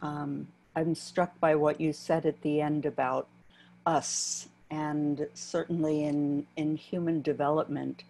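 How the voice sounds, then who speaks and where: A woman moderating a video-call panel, speaking in a gentle, appreciative way. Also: deep voice, flat delivery, slow pace, gravelly, poor audio.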